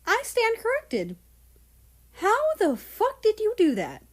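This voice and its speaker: A woman's voice: very high-pitched, wildly swooping pitch, slow, pitched above the performer's own voice, full character voice. A woman voicing a teasing, foul-mouthed female ghost companion in a story, playful and mocking. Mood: amused.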